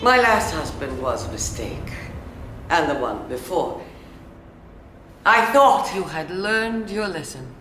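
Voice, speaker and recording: A haughty middle-aged stepmother in a film drama. She speaks slowly and imperiously, with big swings of pitch and a cutting edge, in a roomy space over faint music. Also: sing-song, a bit bright, exaggerated voice. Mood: cold, scornful. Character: haughty, money-grabbing stepmother and scornful wife.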